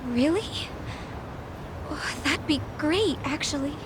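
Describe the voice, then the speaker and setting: A woman's voice: sits high, lively intonation, slow, noisy background. An actress in an English game dub playing a timid, polite young woman who speaks hesitantly. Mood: shy, relieved. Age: young adult. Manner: hesitant, meek. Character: timid, polite young woman worried about her father. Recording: background music over the dialogue.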